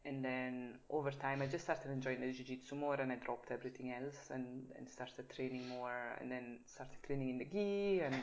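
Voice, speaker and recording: A woman's voice: a bass voice, normal speed, hardly any pauses, lo-fi sound. A woman in her thirties from the Italian part of Switzerland, with a Swiss Italian accent touched by Scottish, answering interview questions in a chatty, frank way and laughing easily. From Italian-speaking Switzerland. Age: 30s. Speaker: guest, jiu-jitsu blue belt.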